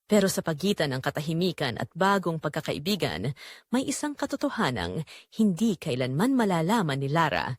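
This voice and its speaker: Expressive female storyteller with lower voice. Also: no room sound, studio quality. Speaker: audio drama narrator. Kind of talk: scripted dramatic story narration.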